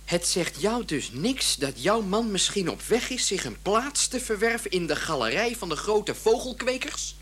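An actor playing an earnest, hesitant family friend in a comic radio play, stammering and halting as he speaks. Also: high-pitched, big pitch swings, a bit bright, slight background noise. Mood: reproachful. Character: hesitant, earnest male family friend, admiring great geniuses.

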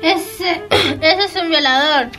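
High-voiced distressed teenage schoolgirl played by a young woman. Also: slow, pushed and bright, faint music behind, exaggerated voice. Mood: angry, shaken. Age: teens. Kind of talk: amateur school radio drama.

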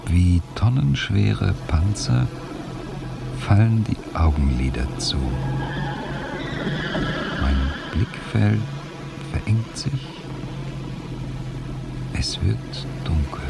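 A helpless, brooding inner voice in a radio play, extremely slow and very low. Phrases halt and sink into a hush, gravelly at the edges, with music clearly behind. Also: male voice, lively intonation, heavily acted. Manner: halting, brooding, hushed phrase endings. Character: man trapped in slowed time, narrating his helplessness inwardly. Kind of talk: radio drama inner monologue.

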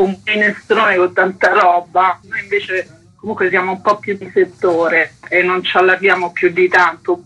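A woman's voice: lowish voice, bright, forceful tone, slightly rough, highs cut off. A middle-aged woman talking informally in a radio interview as a fanzine founder.

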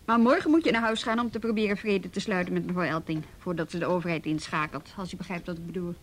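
A woman's voice: lowish voice, fast talker. An actress playing a weary, practical woman who works with troubled youths in a naturalistic radio play, speaking in a dry, matter-of-fact way. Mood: tired, firm. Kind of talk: naturalistic Dutch radio drama dialogue. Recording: noisy radio play recording.

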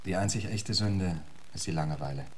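An actor playing a witty, flirtatious English poet in a radio play, speaking in polished epigrams. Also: male voice, sits low, fairly quick. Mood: flirtatious, languid.

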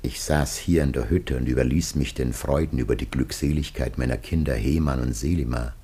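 An actor playing a grave, aged biblical patriarch facing death, declaiming solemnly and haltingly in a classic radio drama. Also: male voice, extremely low pitch, dark tone, breathy edge. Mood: anguished, solemn.

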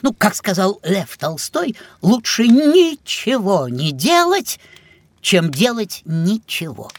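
An ancient, pompous pet creature in a children's audio play. The voice is husky and very animated, swinging up and down with fussy theatrical grandeur. It is recorded close and dry. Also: mid-range voice, slow pace, no echo, full character voice. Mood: pompous, fretful. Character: grumpy two-hundred-year-old male talking pet, pompous, secretly playful.

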